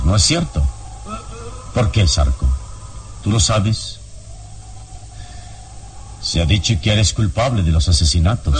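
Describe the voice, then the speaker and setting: An actor playing a commanding, protective adventurer hero in a radio serial, insistent and theatrical. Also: male voice, low-pitched, darkish tone, a little hiss or hum. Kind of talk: Spanish-language radio serial acting.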